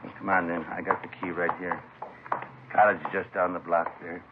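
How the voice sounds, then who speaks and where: A man's voice: deep voice, flat delivery, normal speed, narrow, tinny audio, natural voice. A man playing a laconic, folksy American landlord in a 1950s radio drama, answering in short offhand phrases. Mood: offhand, helpful. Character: folksy, incurious longtime local landlord, helpful but laconic. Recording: noisy, thin vintage radio recording.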